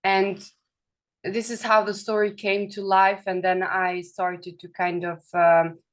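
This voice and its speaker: A woman's voice: medium pitch, flat delivery, slow pace. A young woman from Kosovo, a film director, talking in an interview with many hesitations and restarts. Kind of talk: festival interview.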